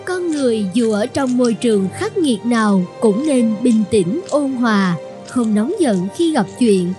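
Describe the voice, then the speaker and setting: A woman's voice: fairly high pitch, lively intonation, measured pace, warm tone, soft background music. A woman narrator reading a scripted children's story.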